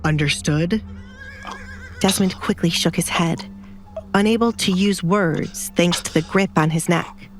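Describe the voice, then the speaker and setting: A woman reads a dramatic audiobook story in a fairly low voice. Her delivery is scripted narration, and music plays clearly behind her. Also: steady tone, unhurried. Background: background music.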